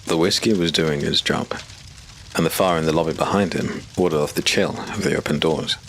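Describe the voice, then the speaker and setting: A man giving measured, ominous scripted narration for a dark fantasy audiobook. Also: a bass voice, fairly even intonation. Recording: clear background hiss.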